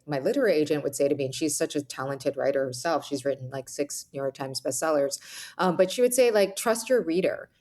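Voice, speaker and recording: Lower-voiced woman reflecting in an interview. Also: mellow. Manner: frequent "like" and "you know", self-reflective. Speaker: author, podcast guest. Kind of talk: conversational interview, reflective storytelling.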